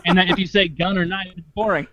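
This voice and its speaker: A man co-hosting a podcast, setting up hypotheticals and joking in a wry, deadpan way. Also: high voice, quick pace, dry recording, dull audio. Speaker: podcast co-host. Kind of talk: casual comedic chat.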